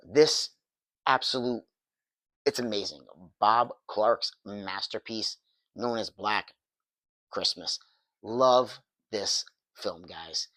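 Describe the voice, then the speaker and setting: A man talking casually to camera, his voice worn and hoarse because he is getting over an illness. He rambles with eager energy, often stopping and restarting his sentences. The sound is dry and close, with almost no room echo. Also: fairly low pitch, slow, pauses often, sharp tone. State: losing his voice, recovering from illness. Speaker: movie reviewer.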